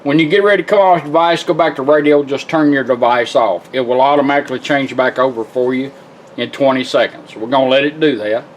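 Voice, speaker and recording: A man's voice: mid-range voice, fairly even intonation, quick pace, slight background noise. An American man giving a casual, plainspoken, step-by-step hands-on demonstration of a product. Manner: plainspoken, folksy step-by-step instruction. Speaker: product demonstrator for a radio restoration shop. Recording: music playing behind the voice. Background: guitar music from a radio.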